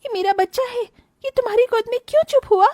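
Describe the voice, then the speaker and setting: A woman playing a selfish, vain, irritable young mother in an animated moral story, with a whiny, dramatic delivery. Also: extremely high pitch, fairly quick, dry recording, studio quality. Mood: indignant.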